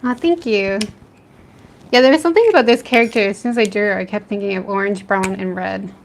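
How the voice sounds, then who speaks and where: A woman's voice: mid-range voice, quick pace, vocal fry, a little hiss or hum. A woman from the East Coast of the United States with an American accent, chatting casually with viewers while she draws late at night at home and often laughing between remarks. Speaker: art streamer. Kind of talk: casual chat while drawing, answering live chat.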